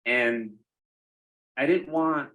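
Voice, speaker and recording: A man's voice: medium pitch, very slow, fairly bright tone, poor audio. A man speaking candidly and conversationally as a guest, often breaking off and rephrasing his thoughts. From Iraq or Iraqi family, American. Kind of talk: conversational personal account.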